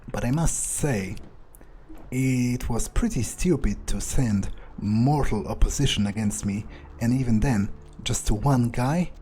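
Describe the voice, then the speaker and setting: A man's voice: medium pitch, unhurried, dark tone. A man doing an in-character game playthrough as a self-assured, scornful vampire, thinking aloud in a hesitant, musing way. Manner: hesitant musing with muttered asides. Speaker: gameplay video player. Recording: noticeable background noise.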